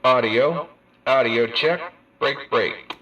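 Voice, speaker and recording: Bright, distant man over CB radio. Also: mid-range voice, takes it slowly, muffled recording, soft background music. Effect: CB radio talkback and over-the-air speaker. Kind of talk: radio audio check, terse counting and test calls. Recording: distant, harsh CB radio speaker playback.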